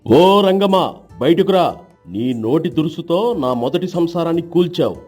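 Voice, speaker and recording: A man playing an emphatic, admonishing village husband in an acted moral story, with a husky voice. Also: fairly high pitch, lively intonation, normal speed, darkish tone, faint music behind. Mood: angry. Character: indignant village husband, teasing, morally lecturing.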